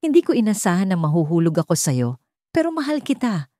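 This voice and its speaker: Lady reading as sorry young guy begging in a love story, earnest, halting, soft dark tone. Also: fairly low pitch, sing-song, no room sound, clean studio sound. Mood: determined. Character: remorseful young man pleading his love.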